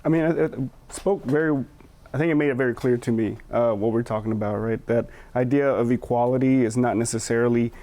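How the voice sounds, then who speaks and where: A man speaking as a panelist, thoughtful and hesitant, pausing often to find his words. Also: medium pitch, fairly even intonation, warm tone. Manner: thoughtful, hesitant, with many fillers and restarts. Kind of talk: reflective panel discussion.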